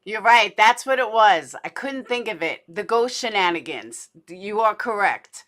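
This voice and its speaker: A woman's voice: mid-range voice, animated, a little slow, fairly bright tone. A woman giving casual livestream commentary in a blunt, indignant, sweary manner, as host of a true crime show.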